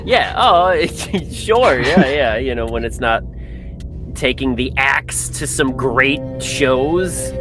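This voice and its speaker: A man's voice: medium pitch, expressive, slow pace, over music. A man in casual, slangy, laid-back conversation as a podcast co-host. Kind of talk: casual film and TV chat.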